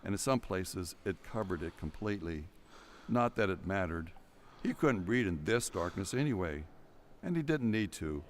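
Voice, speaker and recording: A man with a low voice narrates an audio drama. He reads scripted prose in a dramatic storytelling manner, with faint noise behind him. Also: lively intonation, moderate pace.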